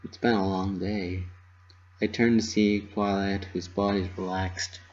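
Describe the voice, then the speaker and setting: A man's voice: low voice, level tone, unhurried, darkish tone, muffled recording, slight background noise. A man giving a dramatic reading of a story, narrating in the first person. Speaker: amateur fan fiction reader.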